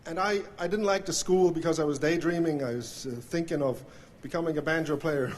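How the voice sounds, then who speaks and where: A middle-aged man from Switzerland with a Swiss German accent, giving an acceptance speech at an awards ceremony in a hall, halting and full of fillers and restarts. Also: on the high side. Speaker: award inductee. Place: hall with audience. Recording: faint noise from live recording.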